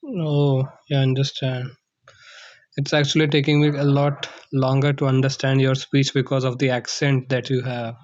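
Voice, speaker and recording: Flat-voiced man on a muffled call. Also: medium pitch, dry recording. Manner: thoughtful, pauses to find words. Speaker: conversation partner. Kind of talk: casual conversation practice.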